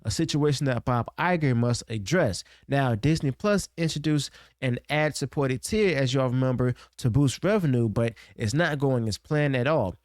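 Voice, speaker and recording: A man's voice: mid-range voice, lively intonation, moderate pace, no echo, clean studio sound. A man giving opinionated, unscripted commentary, punctuating his points with a questioning "okay?". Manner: emphatic, keeps tagging points with "okay?" and "now"; loose and unscripted, with restarts. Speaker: online commentary host.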